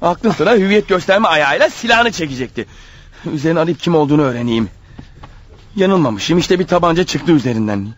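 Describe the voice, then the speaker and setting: An actor playing a paranoid, desperate killer on the run in a radio drama, thinking aloud in an agitated, urgent manner. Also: male voice, on the high side, lively intonation, brisk. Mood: agitated, dismayed. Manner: agitated, urgent, talking his thoughts out loud. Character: desperate, paranoid fugitive killer, a married man. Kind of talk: radio drama acting with spoken-aloud thoughts.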